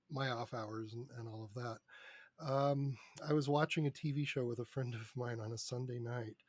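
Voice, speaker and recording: A man's voice: medium pitch, no echo. Easygoing man chatting as podcast guest. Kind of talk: casual interview chat, storytelling.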